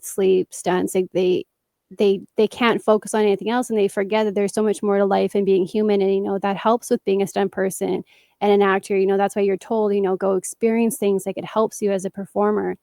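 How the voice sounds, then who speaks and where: Woman, podcast host, mid pitch, dry close sound, rambly, lots of "you know". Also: level tone, brisk, gravelly, warm tone, no echo. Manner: rambling questions full of fillers. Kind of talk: casual interview chat.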